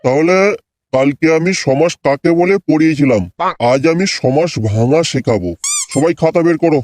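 A man's voice: a little high, normal speed, darkish tone, a little hiss or hum, close to an everyday voice. A man voicing a stern school teacher in a comedy cartoon, giving commanding classroom instruction. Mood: instructive.

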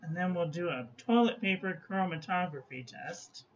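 A man chatting casually while swatching ink at his desk, phlegmy and clearing his throat, with self-mocking asides. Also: high voice, expressive, measured pace. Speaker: ink reviewer. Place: small room at a desk. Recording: dull lo-fi audio with faint room noise.

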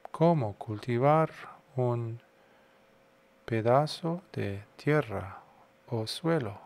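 A man guiding a meditation as a monk would, unhurried, in short phrases separated by long pauses. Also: mid-range voice, lively intonation, gravelly, darkish tone. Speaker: Buddhist monk, meditation guide. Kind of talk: guided meditation talk.

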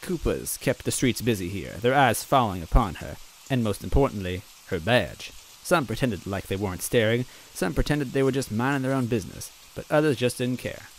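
A man's voice: medium pitch, lively intonation, moderate pace, few pauses, slight background noise, poor audio. Male reader, chatty and rambling, faint rain behind. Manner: casual, rambling, clears throat often. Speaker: reader and narrator. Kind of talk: reading a fan story aloud with chatty asides.

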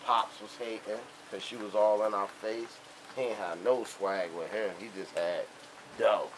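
A young man telling boastful, animated street stories in casual, profane slang. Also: fairly low pitch, a little slow. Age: young adult. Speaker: storyteller. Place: outdoors on a covered house porch. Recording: rain and outdoor ambient noise.

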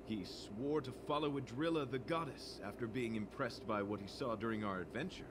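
A man's voice: medium pitch, a little fast, close to an everyday voice. A man voicing an earnest, serenely grandiose knight in a video game, with formal, ceremonious phrasing. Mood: serene, resolute. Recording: game audio with clear background noise.

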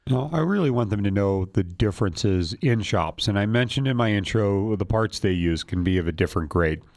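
A man's voice: on the low side, lively intonation, fairly quick, darkish tone, no echo, studio quality. A middle-aged man interviewing guests conversationally as the host of a radio talk show.

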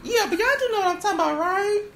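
A woman's voice: very high-pitched, racing, gravelly, slight background noise. A woman performing a sassy, boastful divorced wife in an improvised stage monologue, stammering and repeating words as the character rambles. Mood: rambling, insistent. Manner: stammering, repeats words, sighs and laughs often.

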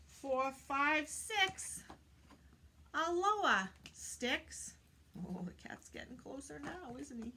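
An older woman reads and teaches for small children in a high, very animated voice. She takes her time, sing-songs her counting and keeps asking the listeners questions. It is recorded in a room with faint background noise. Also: takes it slowly. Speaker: read-aloud teacher for young children. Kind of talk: children's read-aloud and teaching. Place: small indoor room lined with bookshelves.

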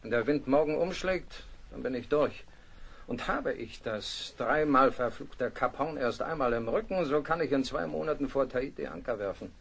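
An actor playing a stern, driven sea captain in a radio play, speaking in a formal, commanding, clipped manner. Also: male voice, medium pitch, expressive, moderate pace, creaky, husky. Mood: stubborn. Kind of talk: German radio play acting.

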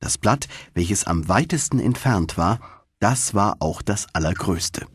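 Male storyteller for children's fairy-tale audiobook: husky, expressive, lively intonation. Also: on the low side, unhurried, no echo, studio quality. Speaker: audiobook narrator.

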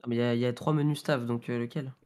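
A man's voice: medium pitch, fairly even intonation, fast, darkish tone, slight background noise. A man talking casually on a voice chat, hesitant and apologetic, as a server admin. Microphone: voice chat over computer mic.

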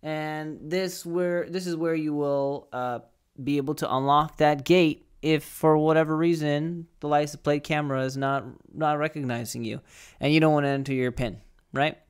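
Clear-voiced young man giving a tutorial. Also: a little high, steady tone, normal speed. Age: young adult. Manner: explanatory, often checks in with "right?". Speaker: tech tutorial presenter. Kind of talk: conversational step-by-step tutorial. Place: small home studio with acoustic foam. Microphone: desk microphone, close.

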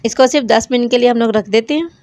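A woman with a Pakistani Urdu accent, hosting a home cooking channel, calmly explaining each recipe step in a voice-over. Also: on the high side, quick pace, no echo. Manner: calm, repeats each step.

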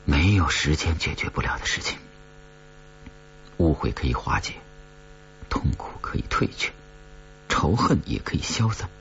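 A man reading an audiobook novel in a measured, dramatic storytelling style that sometimes grows hushed. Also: rumbling low, big pitch swings, gravelly, highs cut off, a little hiss or hum. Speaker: audiobook narrator. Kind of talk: dramatic scripted horror-novel narration.

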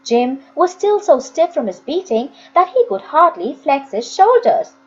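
A woman reading a story aloud as a storyteller, with deliberate, dramatic phrasing. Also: high voice, sing-song, muffled recording. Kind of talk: expressive scripted audiobook reading.

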